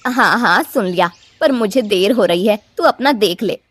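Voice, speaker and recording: A woman's voice: mid-range voice, lively intonation, normal speed, husky. Cartoon grandmother bird, elderly woman actor: stern, grabby, dismissive, forever grumbling and scolding. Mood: greedy, scheming. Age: older. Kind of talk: Hindi children's cartoon voice acting.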